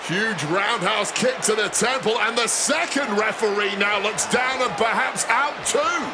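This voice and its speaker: A man calling pro wrestling as an excitable commentator in a video game, with emphatic, dramatic calls. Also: sits high, unhurried, very noisy. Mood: excited, dramatic. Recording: loud arena crowd and music behind. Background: roaring arena crowd and music.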